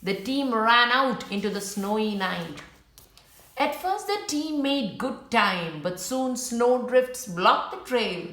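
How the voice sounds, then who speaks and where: A woman with a slightly high voice reads a children's story aloud. She goes very slowly and deliberately, keeping it simple for young listeners. The room around her is noticeably echoey. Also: lively intonation, fairly bright tone. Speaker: children's book read-aloud narrator. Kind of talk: storybook read-aloud with brief asides to viewers.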